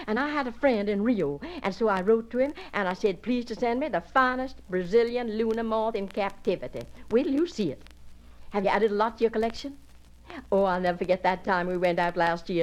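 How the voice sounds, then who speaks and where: A woman's voice: medium pitch, a little mellow, natural voice, rough recording. A woman acting a breathless, gushing society woman arriving at a country house, in a solo character monologue.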